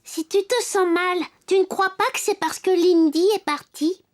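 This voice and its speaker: Very high-pitched caring little cartoon boy. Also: steady tone, normal speed. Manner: simple, encouraging, gently questioning. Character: cheerful, caring young boy helping his robot friend. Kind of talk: French cartoon dub acting.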